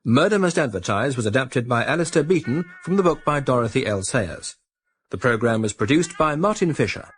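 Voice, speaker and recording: A man with a British accent reading scripted radio drama credits as an announcer in a formal, measured manner. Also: mid-range voice, lively intonation, slightly rough.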